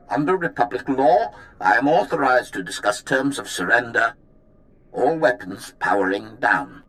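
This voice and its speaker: An actor playing an anxious but dignified mining colony representative in a video game, delivering a formal, deliberate official message. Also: male voice, medium pitch, fairly bright tone, natural voice, poor audio. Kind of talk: formal transmitted appeal in a game.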